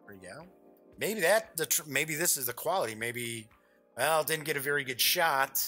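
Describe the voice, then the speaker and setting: A man with a bright, forward voice talks through an unboxing off the cuff. His pitch moves around in a lively way as he chats, jokes and mutters little asides to himself. Faint music sits behind him. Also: normal speed. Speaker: host of a tabletop game review show. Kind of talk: casual off-the-cuff unboxing commentary.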